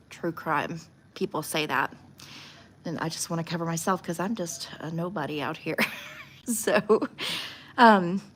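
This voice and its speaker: A woman with a slightly low voice hosts a casual livestream. She speaks informally and frankly, offering her own opinions in a chatty, conversational way. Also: a bit bright. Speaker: livestream host doing nail art. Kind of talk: casual livestream chat with true crime commentary.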